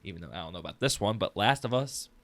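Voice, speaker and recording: A man with a slightly low voice hosts a casual podcast discussion. He talks in an informal, conversational way, as if around a table with co-hosts. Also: animated, fast, fairly bright tone, slight background noise. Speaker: podcast host.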